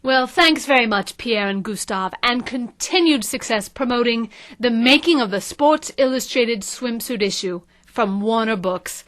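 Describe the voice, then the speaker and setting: An actress playing a perky, polished radio co-host with wry, promotional patter in a satirical audio drama. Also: female voice, fairly high pitch, animated, measured pace, few pauses, a bit bright. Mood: pleased. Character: perky, smooth-selling female book radio co-host.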